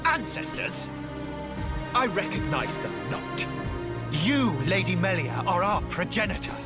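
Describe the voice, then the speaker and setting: The self-crowned usurper ranting before the boss fight in a fantasy game, very high-voiced and grandiose, music blaring behind him. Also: male voice, very animated, a little slow. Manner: pompous, grandiose. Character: arrogant young fanatical male villain of noble race. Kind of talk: theatrical video game voice acting.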